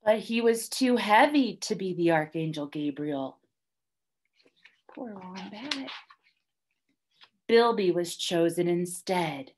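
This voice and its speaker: A young woman reading a children's story aloud like a teacher, deliberately and with dramatic emphasis. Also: on the low side, animated, a little mellow. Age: young adult. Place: small classroom, indoors, by a whiteboard.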